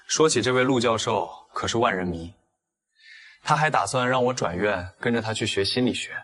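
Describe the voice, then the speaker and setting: Man playing a shrewd, husky young student. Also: mid-range voice, moderate pace, a bit bright. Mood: earnest. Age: young adult. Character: seemingly innocent, shrewd young male student. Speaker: university student. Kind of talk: audio drama acting for an animated story.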